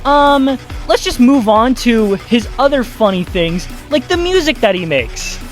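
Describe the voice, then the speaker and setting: Animated, sarcastic commentator over faint music. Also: mid-range voice, a little slow. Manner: exasperated, sarcastic, swears freely. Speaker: YouTube video essayist. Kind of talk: casual commentary with scripted narration.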